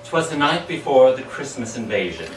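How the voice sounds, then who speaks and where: A man reads storytelling verse aloud in a theatrical, sing-song manner, his pitch moving up and down dramatically. He sounds some way off from the microphone, with the echo of the room around his voice. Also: normal speed. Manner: expressive, dramatic, sing-song rhyming delivery. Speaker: amateur poet reading their own poem. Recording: echoey room, distant mic, background hiss.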